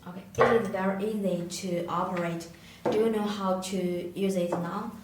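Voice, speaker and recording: A woman who is a non-native English speaker, giving an unscripted product tutorial in a halting, hesitant way with searching pauses. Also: medium pitch, fairly even intonation. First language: not English. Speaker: product demonstrator. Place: echoey indoor room, tabletop demonstration. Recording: echoey room, distant mic, faint noise.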